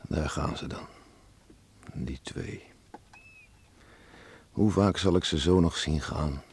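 Deep-voiced, grumbling old beachcomber father. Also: slow pace, a little mellow, heavily acted. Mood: wistful. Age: older. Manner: grumbling banter with rustic turns of speech. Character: gruffly teasing, devout old beachcomber father. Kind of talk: radio drama acting. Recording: noisy old radio play recording.

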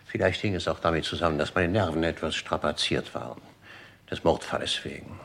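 An actor playing an older, formal manservant in a German radio crime drama, deferential and deliberate, with a husky, gravelly voice. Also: male voice, very deep voice, a bit bright, exaggerated voice. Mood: shaken, deliberate. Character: dutiful, formal old-school manservant of a murdered gentleman.

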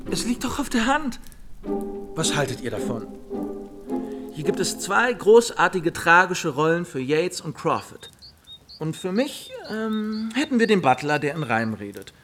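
An actor playing an insistent, enthusiastic young gentleman in a German radio drama. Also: a little high. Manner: insistent, opinionated. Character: young gentleman, bossy, enthusiastic eldest brother organising amateur theatricals.

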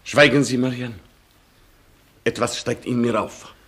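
A man's voice: mid-range voice, fairly even intonation, unhurried, a bit bright, breathy edge. A man playing a proud, passionate Polish colonel with a grandiloquent, declamatory manner in a German radio play. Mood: stern, fervent. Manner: pompous, declamatory.

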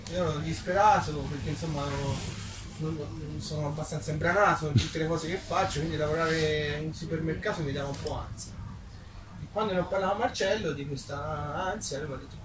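A man's voice: fairly high pitch, a little fast, noisy background. A man talking informally as an author at a live book event, hesitant and self-deprecating. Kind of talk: informal spontaneous live talk. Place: echoing room at a public book event. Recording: echoey room, distant mic, audience chatter.

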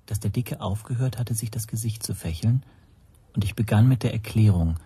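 An actor playing a watchful first-person narrator in a radio drama, with a breathy, husky voice. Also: male voice, lowish voice, normal speed, mellow. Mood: observant, tense.

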